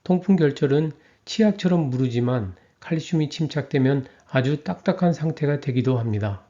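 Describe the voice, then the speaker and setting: Measured male health lecturer, mellow voice. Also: on the high side, muffled recording. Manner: even, measured reading. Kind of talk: scripted lecture narration.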